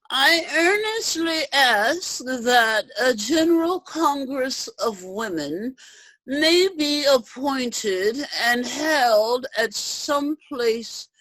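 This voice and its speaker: A woman's voice: a little high, a bit bright, dry recording, heavily acted, low-quality recording. A woman playing an earnest suffragist speaker in a staged reading, declaiming solemnly. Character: earnest suffragist poet and storyteller. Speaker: reader in a reader's theater.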